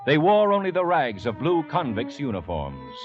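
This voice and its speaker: A man narrating an adventure story as a grave, measured, ominous storyteller in an old radio drama. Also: mid-range voice, huge pitch swings. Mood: grave, dramatic. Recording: dull vintage radio recording. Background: orchestral music with brass.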